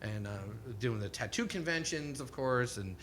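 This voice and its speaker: Guy hosting a live stream, rambly and swears a lot, bright tone, faint hum behind. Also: medium pitch, expressive, moderate pace. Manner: rambling, profane, laughs often. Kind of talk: casual interview chat.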